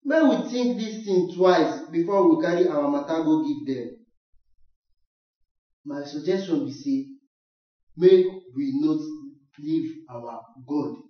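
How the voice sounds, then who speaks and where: A student actor, a man with a Nigerian accent, playing an insistent traditionalist village chief who pleads in a declamatory way in a radio drama. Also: a little high. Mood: urging. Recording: dull, echoey, distant from mic.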